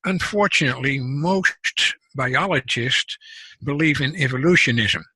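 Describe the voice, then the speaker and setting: Dutch-accented man, bright and dry. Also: medium pitch, animated, husky, no room sound, low-quality recording. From Netherlands. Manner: measured, explanatory, frequent restarts. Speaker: guest scientist and author. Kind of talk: casual interview talk.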